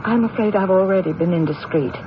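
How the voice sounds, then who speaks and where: An actress playing a poised, wealthy widow accused of killing her husband, speaking in a measured, guarded, formal way in an old-time radio mystery style. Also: mid-range voice, lightly acted. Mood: weary, bitter. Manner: measured, guarded, formal, halting when recounting. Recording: noisy, muffled old radio recording.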